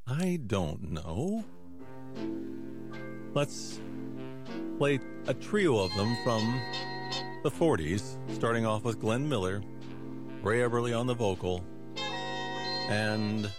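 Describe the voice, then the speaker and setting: A man hosting a music radio show, chatting casually in a folksy, rambling way with self-deprecating asides. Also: mid-range voice.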